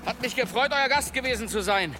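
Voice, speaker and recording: A man's voice: very high voice, expressive, fairly quick, over music. An actor playing a wry, indignant male demon hunter in a German radio drama.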